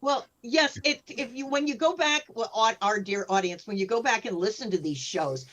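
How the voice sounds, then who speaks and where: A woman speaking as a podcast panelist in an unscripted discussion, chatty and appreciative, a little halting as she explains. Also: fairly high pitch, a bit bright.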